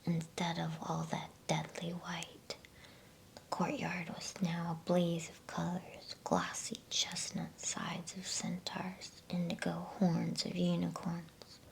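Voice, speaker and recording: A woman whispering breathily and gently in a hushed ASMR style, as a host reading a bedtime storybook. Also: fairly low pitch, completely flat delivery, vocal fry, rough recording. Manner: gentle, slow, hushed delivery.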